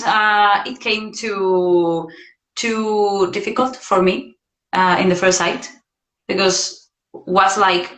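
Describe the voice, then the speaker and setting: Slow-talking bright-voiced woman in her thirties. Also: mid-range voice, echoey room, rough recording. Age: 30s. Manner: hesitant, many fillers and restarts, lively when acting out memories. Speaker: vocal coach. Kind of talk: casual livestream chat. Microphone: phone or laptop on a video stream.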